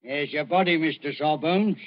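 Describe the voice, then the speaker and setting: An actor playing a big, surly body snatcher, rough and mocking, with a gravelly, husky voice in old radio drama style. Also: male voice, fairly high pitch, normal speed, natural voice. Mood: mocking, threatening. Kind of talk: 1940s radio acting. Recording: dull vintage radio recording.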